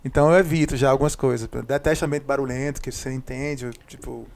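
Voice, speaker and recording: Fast-talking man. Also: medium pitch, steady tone, a little mellow. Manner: quick, chatty, trails off into tu-vai asides. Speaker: podcast co-host. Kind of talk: casual chat.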